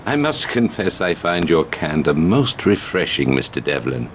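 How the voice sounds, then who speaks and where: An actor playing a suave, calculating British spymaster in a video game, speaking in a measured, formal and wry way. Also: male voice, extremely low pitch, very animated, slight background noise. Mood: suave, dry. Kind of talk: video game cutscene acting.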